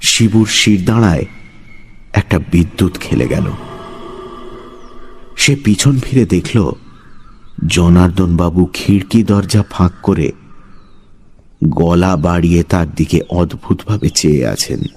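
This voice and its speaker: A man's voice: deep voice, slow, long pauses, darkish tone, husky. A man giving a dramatic, scripted narration of a horror story. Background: background music.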